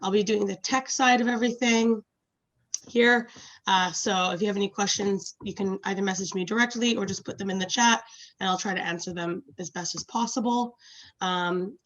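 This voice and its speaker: A woman's voice: mid-range voice, steady tone, moderate pace, fairly bright tone. A woman giving casual housekeeping announcements as an event tech coordinator, speaking informally and a little hesitantly on a video call. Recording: dull, muffled video-call audio.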